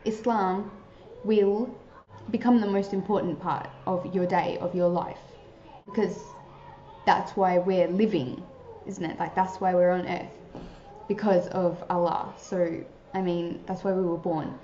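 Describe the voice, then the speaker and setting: Earnest young woman, mellow and roomy. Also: on the low side, steady tone, unhurried, a little hiss or hum. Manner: earnest, chatty, many filler likes. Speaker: vlogger giving advice to new converts. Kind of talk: casual advice talk to camera. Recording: roomy, slightly dull sound.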